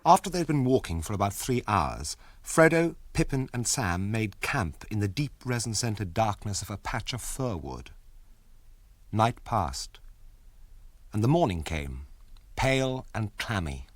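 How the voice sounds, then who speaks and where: An actor as a calm storyteller narrating a radio drama. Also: male voice, on the low side, a little slow, no echo. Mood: measured, atmospheric.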